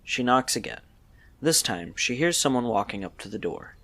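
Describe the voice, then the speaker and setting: A man's voice: mid-range voice, animated, normal speed, gravelly, pushed and bright. A man narrating a scripted audio story.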